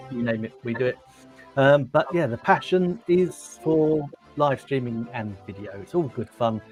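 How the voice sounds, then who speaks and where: A man's voice: medium pitch, darkish tone. A man, a digital marketer guesting on a live stream, upbeat and friendly.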